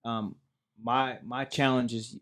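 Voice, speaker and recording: American male podcast host, average pitch, earnest and reflective in an informal discussion. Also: level tone, slow, a bit bright. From United States.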